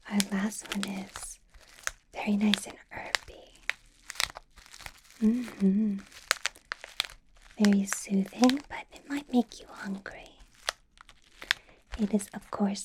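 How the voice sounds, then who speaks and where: A woman's voice: mid-range voice, background noise, close to an everyday voice. A woman whispering as a possessive, menacing female vampire boss in an ASMR roleplay, sweet on the surface with a threatening edge. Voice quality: soft unvoiced whisper.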